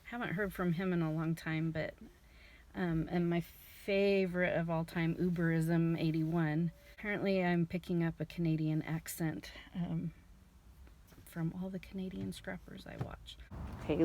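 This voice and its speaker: Slow-talking chatty woman vlogger. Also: on the low side, background noise. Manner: rambling, chatty storytelling with fillers. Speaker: vlogger reselling scrap. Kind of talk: casual vlog chat. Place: at home, garage and car.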